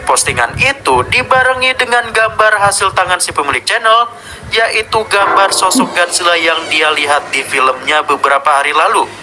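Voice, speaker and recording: A man's voice: sits high, moderate pace, background noise. A man with an Indonesian accent hosting a scripted horror explainer, addressing viewers informally as he narrates. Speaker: video narrator and host.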